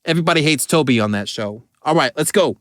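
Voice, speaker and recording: A man with a voice going hoarse, chatting loosely as he reacts to music, with lots of filler words and swearing. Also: a little high, lively intonation, quick pace, no room sound, studio quality. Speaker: caricature artist reacting to music. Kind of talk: casual reaction commentary.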